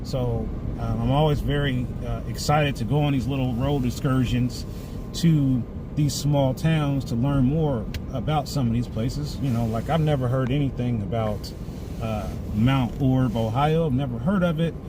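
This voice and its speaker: A man with a slightly hoarse, dry voice chats casually and rambles to livestream viewers as host while driving in a car. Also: medium pitch, moderate pace. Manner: rambling, conversational asides to viewers. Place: inside a moving car on country roads. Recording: loud car and road noise. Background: car driving noise.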